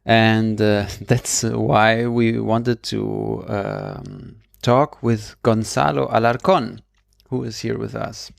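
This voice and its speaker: A man hosting a podcast in a casual, conversational way, with hesitant, drawn-out phrasing. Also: lowish voice, lively intonation, vocal fry, no echo, clean studio sound. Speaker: podcast host.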